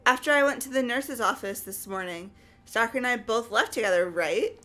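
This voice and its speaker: Woman acting an earnest, high-voiced grieving teenage girl. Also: brisk, a bit bright, close to an everyday voice. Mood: upset, regretful. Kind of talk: amateur video game voice acting.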